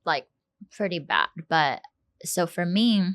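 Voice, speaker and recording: Low-voiced woman sharing her story. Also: animated, slow, a bit bright. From South Korea. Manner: earnest, many fillers and restarts. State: tearful, fighting back emotion. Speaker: podcast co-host. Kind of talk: casual personal conversation.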